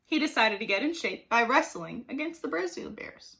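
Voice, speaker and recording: Lady reading a kids book out loud, bright voice, super animated, dramatic. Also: fairly high pitch, normal speed, vocal fry. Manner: expressive, dramatic emphasis. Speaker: teacher reading aloud. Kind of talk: animated storybook narration for children.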